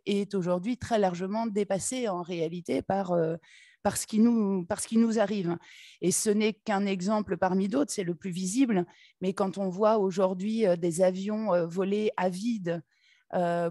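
A woman from Paris giving a conference talk at a table microphone, pausing often with fillers and restarting her phrases. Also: mid-range voice, warm tone, no room sound, studio quality. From Paris, France. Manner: hesitant, many filler "euh" and restarts. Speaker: essayist and conference speaker. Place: conference room, seated at a table. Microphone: table microphone on a stand.

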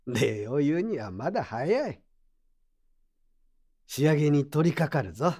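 An actor playing a gruff but kindly old craftsman in an audio drama, with rustic, plain-spoken elderly speech. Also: fairly high pitch, very animated, measured pace, darkish tone. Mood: calm, kindly. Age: older. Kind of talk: Japanese audio drama acting.

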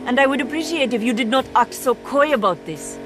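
A woman's voice: sits high, a little fast, over loud music, lightly acted. A woman playing a proud, stern archer agent from India in a video game, speaking formally with dry sarcasm. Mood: indignant. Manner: formal, clipped, sardonic.

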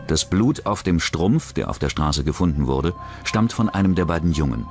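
A man with a low, deep voice reads scripted true-crime narration as a documentary narrator. Music plays underneath him. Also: expressive, moderate pace, a little mellow. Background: background music.

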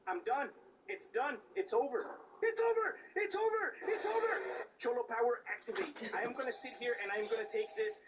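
Hyped streamer guy, going hoarse, mixes english and spanish, mic kinda far, noisy room. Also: very high voice, fairly quick. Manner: excitable, switches between English and Spanish, yells and swears. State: losing his voice. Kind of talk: live gaming commentary.

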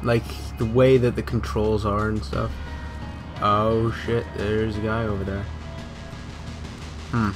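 A man's voice: on the low side, little pitch movement, slow, long pauses, music in the background. A man doing casual video game commentary as a let's player, informal, rambling and sweary, on a desktop microphone. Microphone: USB desktop microphone.

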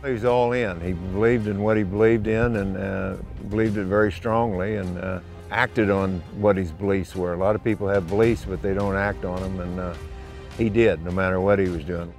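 A man's voice: sits low, steady tone, moderate pace. A man giving a reflective tribute in a documentary interview. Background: soft music.

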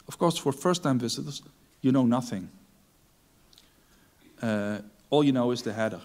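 A man's voice: mid-range voice, lively intonation, moderate pace, a little mellow. Hesitant middle-aged man giving a conference talk. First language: Dutch. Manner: hesitant, many ums and restarts. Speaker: conference speaker. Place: large dark conference stage.

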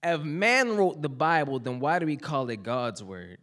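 A man's voice: on the high side, lively intonation, moderate pace, fairly bright tone, voice pushed up. A young man speaking as a child who asks its mother hard questions, in a spoken word performance on a lecture hall stage. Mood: urgent. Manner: rising, searching questions. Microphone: mic on a stand.

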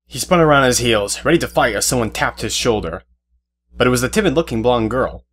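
A man's voice: mid-range voice, lively intonation, brisk, a bit bright. A man reading scripted narration for an audio drama as the storyteller. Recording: music behind the voice.

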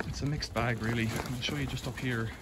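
A man's voice: mid-range voice, steady tone, a little fast. Chatty British man filming outdoors. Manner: rambling, chatty, matter-of-fact. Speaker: vlogger. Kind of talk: casual walk-and-talk commentary. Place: outdoors in back alleys. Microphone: handheld phone camera. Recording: loud outdoor noise behind voice.

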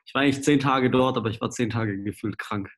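A man of twenty-five with a cold-roughened voice, casually telling his life story on a home video call. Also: medium pitch, fast talker. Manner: casual, lively storytelling with slang. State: hoarse from a cold. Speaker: guest speaker. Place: small room at home, indoors. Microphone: laptop webcam, video call.

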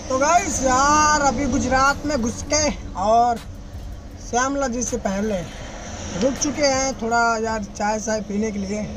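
A man's voice: very high voice, measured pace, music in the background. A young man who drives trucks, chatting casually to his phone camera from the cab and the roadside, often saying "guys, yaar". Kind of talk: casual vlog narration to camera. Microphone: phone held at arm's length. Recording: noisy roadside phone recording. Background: vehicle and road noise.

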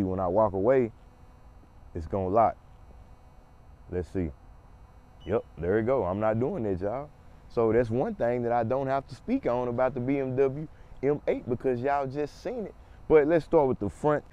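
Hoarse laid-back man reviewing a car. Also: fairly low pitch, mellow, lo-fi sound. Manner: laid-back, talks straight to viewers. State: hoarse from a cold. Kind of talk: casual walkaround car review. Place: outdoor parking lot. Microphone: handheld phone camera.

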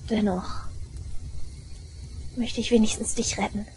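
A woman playing an obsessive, unhinged young shrine maiden in an audio drama, intense and deliberate, moving between hushed and frenzied delivery. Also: mid-range voice, fairly even intonation, a little hiss or hum, lightly acted. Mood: hushed, resolute. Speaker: voice actress.

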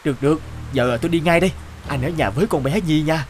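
A man's voice: fairly high pitch, quick pace, a little hiss or hum, close to an everyday voice. A man voicing a kind, courteous young village father in a cartoon. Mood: hurried. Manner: plain-spoken, courteous. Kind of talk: animated cartoon dialogue.

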